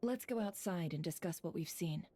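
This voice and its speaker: Woman playing a lowish-voiced, composed investigator. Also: expressive, warm tone, slight background noise, natural voice. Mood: pensive. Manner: measured, deliberate, formal. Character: composed, analytical adult female investigator. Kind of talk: video game voice acting.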